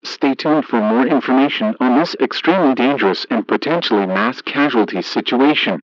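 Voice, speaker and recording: Male emergency broadcast announcer, mid-pitched, formal, flat scripted read with a synthetic edge, dull radio sound. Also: normal speed.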